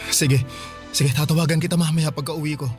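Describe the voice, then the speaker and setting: An actor playing an earnest, worried young student boyfriend in a Filipino radio drama, pleading and hesitant with a Filipino accent. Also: male voice, a little high, fairly even intonation, a little fast, soft background music, close to an everyday voice. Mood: pleading, caring.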